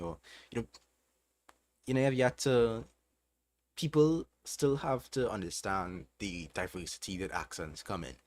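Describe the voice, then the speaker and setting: A man's voice: sits low, slight background noise. A young man with a Trinidadian accent, hosting a casual livestream and answering chat questions while thinking aloud. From Trinidad and Tobago. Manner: relaxed, thinking aloud with fillers. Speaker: livestream host.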